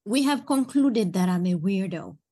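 A woman's voice: mid-range voice, expressive, moderate pace, warm tone. A woman co-hosting a podcast in casual chat, laid-back, laughing easily and trailing off mid-thought. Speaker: podcast co-host.

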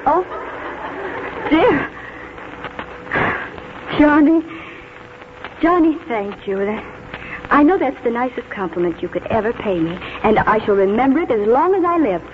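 An actress playing a kindly, reminiscing spinster schoolteacher in a 1940s radio drama, speaking tenderly and precisely. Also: female voice, fairly high pitch, sing-song, a little slow, long pauses, noisy background. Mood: gentle. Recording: tinny 1940s radio, loud music behind.

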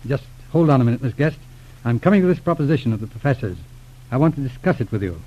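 Male detective for vintage radio serial actor: quick, clipped delivery, serious and courteous, dull old recording. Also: medium pitch, animated, lightly acted. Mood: grave, matter-of-fact. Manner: clipped, businesslike, formal. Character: grave, courteous male private detective, former police inspector. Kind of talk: 1940s radio acting.

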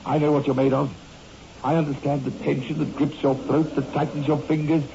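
An actor playing an earnest, loyal assistant surgeon with formal, emphatic delivery in a 1960s radio drama. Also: male voice, a little high, little pitch movement, brisk, gravelly. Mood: urgent, firm. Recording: muffled, noisy old radio recording.

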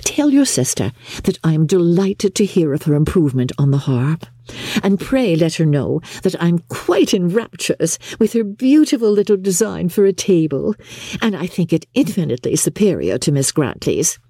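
A woman's voice: lowish voice, big pitch swings, moderate pace, mellow, slightly rough, no room sound, studio quality, full character voice. A woman voicing a fawning, affectedly sweet young society lady in an audiobook, cooing and gushing. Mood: gushing flattery. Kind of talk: audiobook character acting.

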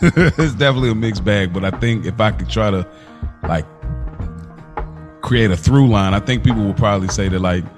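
A man in casual podcast conversation, telling stories in a straightforward, slangy and somewhat halting way. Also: on the low side. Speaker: podcast co-host. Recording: music playing behind the voice. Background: music.

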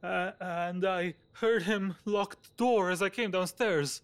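Man playing a high-pitched, fretful, nervous gentleman. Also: fairly even intonation, slow, clean tone. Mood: panicked. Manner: stammering, anxious, pleading. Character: frightened, fretful, nervous gentleman fearing blame. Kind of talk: audio drama acting.

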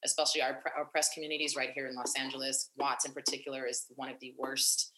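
A young woman activist talking in an interview, animated and long-winded with many fillers and restarts. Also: a bass voice, fast talker, reverberant, poor audio. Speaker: activist and nonprofit founder. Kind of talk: casual interview conversation.

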